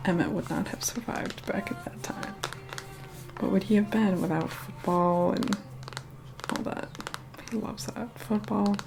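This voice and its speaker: A woman playing an aloof, vain young vampire in a soft whispered ASMR roleplay, unhurried, dry and mildly condescending. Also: low-quality recording. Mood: dry, exasperated. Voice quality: soft, breathy whispering mixed with low murmur. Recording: music playing behind close whispered voice.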